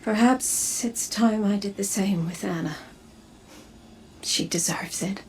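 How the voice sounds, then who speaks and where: An actress playing a weary woman who has traveled time, speaking in a soft, reflective way. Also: mid-range voice, slow pace, a little hiss or hum. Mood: worried. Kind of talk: video game dialogue.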